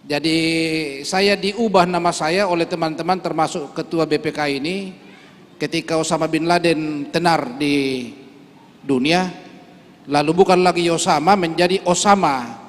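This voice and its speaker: A man from Toraja, a church leader, giving an earnest speech and then a solemn prayer at a Christmas service. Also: on the high side. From Toraja, Indonesia. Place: large church hall. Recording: long hall reverb.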